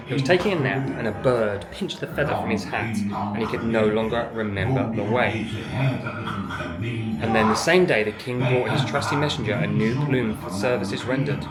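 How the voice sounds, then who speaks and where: Loud music plays behind a casual vlogger with a low-to-middle speaking voice. The parent narrates a walk-through of an outing in a conversational style, recorded on the move outdoors. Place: outdoors in a theme park, walking, sometimes inside small buildings.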